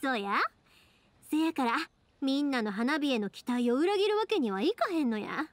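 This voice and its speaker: Lively high-voiced young Kansai woman. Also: moderate pace. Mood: resolute, friendly. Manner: breezy, friendly dialect speech. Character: cheerful, warm-hearted young woman fireworks maker. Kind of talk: Japanese anime game voice acting. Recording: music behind the voice.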